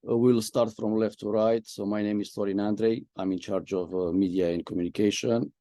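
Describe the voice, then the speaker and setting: Low-voiced man hosting an online session. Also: steady tone, brisk, mellow, dry recording. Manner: frequent "uh" fillers, friendly moderator. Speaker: host, media and communication lead. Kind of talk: webinar hosting.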